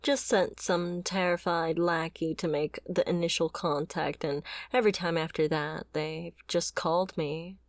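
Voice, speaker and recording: A fiery female mercenary, acted by a woman for a dramatic ASMR roleplay, speaks slowly and confrontationally. She is a wounded former bodyguard with a sardonic, bitter edge and a slightly low voice. The sound is close and very dry. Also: steady tone, no echo. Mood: sullen, dismissive.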